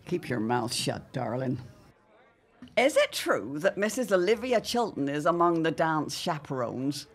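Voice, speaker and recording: An actress playing a grand, gossipy society matron with an arch, formal manner in a period audio drama. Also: female voice, low voice, very animated, unhurried, slightly rough, heavily acted. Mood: curt.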